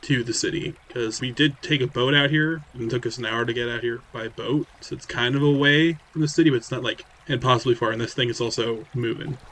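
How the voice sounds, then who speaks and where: Mid-pitched man playing a tabletop game. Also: steady tone, fairly quick, few pauses, fairly bright tone, a little hiss or hum. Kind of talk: casual in-character table talk.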